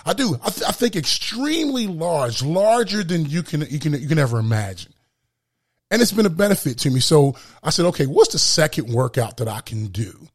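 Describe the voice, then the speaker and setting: A man hosting a solo motivational podcast with a booming voice, speaking emphatically and repeating phrases for effect. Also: very animated, a little fast. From lives in Florida. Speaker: podcast host.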